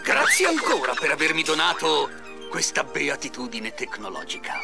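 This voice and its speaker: Gushing, highish-voiced satisfied customer. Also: male voice, huge pitch swings, normal speed, gravelly, over music, heavily acted. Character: gushing, earnest infomercial testimonial customer. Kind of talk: parody infomercial testimonial.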